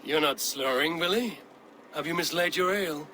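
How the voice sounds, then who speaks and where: An actor playing a calm, earnest Norse clan leader. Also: male voice, on the high side, normal speed. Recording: game audio with ambient noise.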